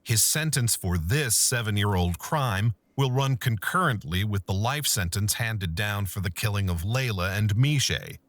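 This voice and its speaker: A man narrating a true-crime documentary in a measured, scripted storytelling manner. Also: on the low side, lively intonation, fairly bright tone, dry recording.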